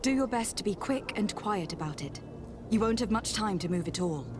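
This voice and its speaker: A woman playing a diplomatic young noblewoman in a video game, polite, formal and quietly assertive. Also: mid-range voice, lively intonation, moderate pace, background noise, lightly acted. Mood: assured. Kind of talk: video game cutscene acting.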